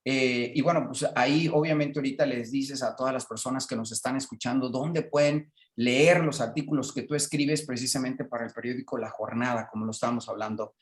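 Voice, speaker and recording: A man's voice: medium pitch, reverberant. A man hosting a radio interview, talking in a rambling way with many fillers. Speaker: radio host.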